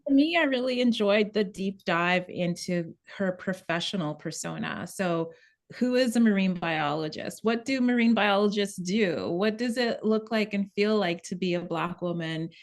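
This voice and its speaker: A woman's voice: medium pitch, normal speed. A woman moderating an unscripted creative discussion, articulate and diplomatic in the way she speaks.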